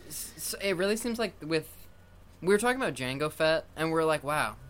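A man's voice: a little high, lively intonation, fairly quick, a bit bright. A man co-hosting a casual podcast, making wry jokes. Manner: wry, joking. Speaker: co-host.

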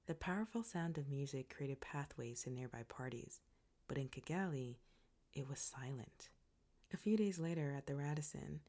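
Low, husky woman telling a story. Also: mellow. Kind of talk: scripted personal story narration.

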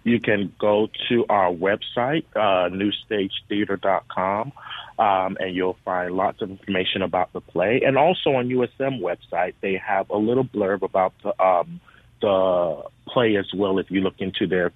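A low-voiced man from the US Virgin Islands speaks as an interview guest over a narrow phone-like connection. He is earnest as he explains his work, and the sound is dry, with little room around it. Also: steady tone, moderate pace, no echo. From US Virgin Islands (Saint Thomas). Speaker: theater education director, interview guest. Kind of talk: interview answers about theater work. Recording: narrow phone-like radio line.

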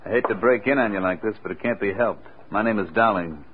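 Man playing a low-voiced, cocky tough federal agent. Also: fast, noisy background, close to an everyday voice. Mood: cocky, curt. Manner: curt, sardonic. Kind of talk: 1940s hardboiled radio drama acting. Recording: tinny vintage radio recording.

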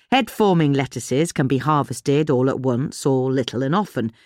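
A woman's voice: low voice, dry recording, clean studio sound. A British woman reading a gardening magazine article aloud as scripted narration.

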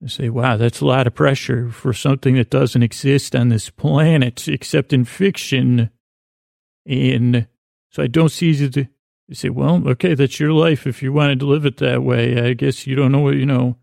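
A man with a creaky voice hosting a bedtime podcast in a meandering, improvised monologue, halting and often trailing off mid-sentence. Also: mid-range voice, steady tone, a little mellow, no echo, studio quality. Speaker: podcast host.

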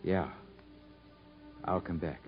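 A man's voice: low-pitched, fairly even intonation, takes it slowly, soft background music. A man acting a stubborn, sullen convict in a 1950s radio drama, speaking in plain rustic, defiant phrases. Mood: subdued, bitter. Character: stubborn, sullen male convict, proud and embittered. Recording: muffled, noisy vintage radio broadcast.

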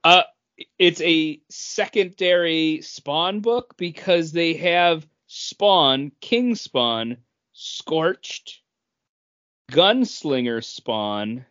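A man's voice: fairly high pitch, expressive, extremely slow, a bit bright. A man co-hosting a podcast, casually discussing comic books. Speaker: podcast co-host. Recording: dull, lo-fi podcast sound.

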